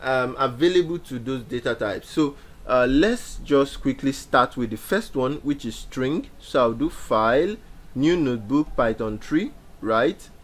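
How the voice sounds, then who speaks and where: A young man talks through a beginner coding tutorial from a small indoor room, picked up by a laptop mic. He explains step by step, circling back to repeat key points, with plenty of ums along the way. His voice sits at an everyday middle pitch. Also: animated, a little slow. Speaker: programming instructor. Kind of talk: screen-share coding tutorial.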